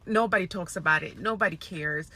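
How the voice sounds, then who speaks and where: A woman from Congo speaking earnestly to camera in a personal video, hesitant and weary, with many pauses. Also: on the low side, pushed and bright, slightly rough.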